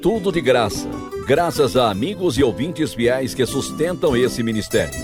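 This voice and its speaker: A man's voice: medium pitch, big pitch swings, moderate pace. A man presenting a radio drama program as its announcer, reading scripted narration in a dramatic style. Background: music, plucked guitar.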